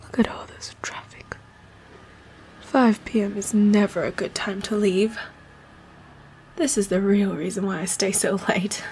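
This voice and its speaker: A woman playing a sweet, secretive boss who is a hidden leopard hybrid in a roleplay audio drama, soft and breathy, often slipping into whispers. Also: mid-range voice, steady tone, measured pace, pauses often, a little hiss or hum, close to an everyday voice. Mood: wistful, complaining. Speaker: voice actor.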